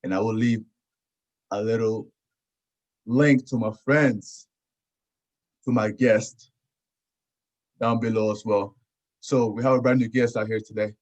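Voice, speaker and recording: A man's voice: lowish voice, steady tone, a little slow, pauses often, darkish tone. A man hosting a podcast in casual chat, often repeating his phrases. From Houston area, United States. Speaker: podcast host. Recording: dull, lo-fi audio.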